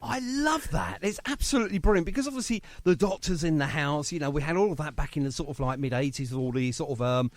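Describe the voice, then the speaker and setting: A man's voice: fairly high pitch, animated, fast, hardly any pauses, no room sound. A man hosting a radio show, chatting casually and agreeably with a guest in a jovial way.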